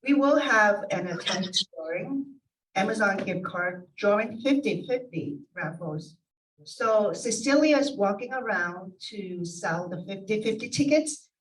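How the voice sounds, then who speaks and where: A woman's voice: medium pitch, expressive, a little slow, a little hiss or hum. A woman emceeing an association meeting, reading announcements to the audience and sometimes stumbling over names. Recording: voice heard from a distance.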